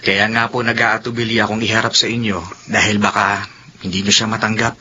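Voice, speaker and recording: A man's voice: fairly low pitch, little pitch movement, a little fast, sharp tone, a little hiss or hum. A man playing an earnest young man in love in a radio drama, pleading and hesitant, stammering when nervous. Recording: dull lo-fi audio, faint music behind.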